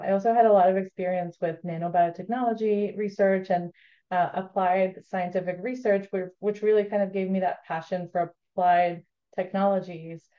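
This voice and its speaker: Woman, thirties, low voice, measured, hesitant fillers, lo-fi video call. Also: mellow. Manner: measured, many ums and uhs. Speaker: startup CEO and co-founder. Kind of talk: webinar panel talk.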